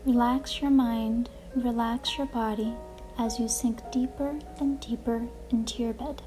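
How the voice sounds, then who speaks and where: A woman hosting a guided relaxation session, speaking in a calm, gentle, deliberate way with soothing narration. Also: a little high. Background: music playing behind the voice.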